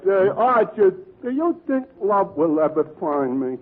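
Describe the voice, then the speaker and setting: High-voiced, dim-witted, lovelorn sidekick. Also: male voice, very animated. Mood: wistful. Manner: slow-witted, hesitant, earnest. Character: dim-witted, lovelorn male tavern sidekick, naive and gentle. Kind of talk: 1940s radio comedy acting. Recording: tinny vintage radio broadcast.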